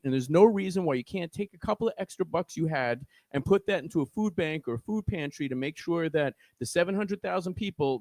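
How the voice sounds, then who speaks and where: A man with a New York accent speaks earnestly, as if giving a short sermon on a podcast. His voice sits a little high, and he often stumbles and restarts his phrases. The sound is dry and close. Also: darkish tone, dry recording. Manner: earnest, preachy, many restarts. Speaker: guest, community organizer. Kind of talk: impassioned podcast discussion.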